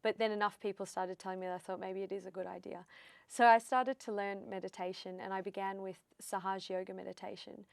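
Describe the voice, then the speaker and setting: A woman's voice: medium pitch, little pitch movement, brisk, creaky, no room sound, clean studio sound. A woman in her thirties from Australia with an Australian accent, a psychic medium telling her story in a casual chat and acting out the lines she quotes. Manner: chatty storyteller, acts out quotes.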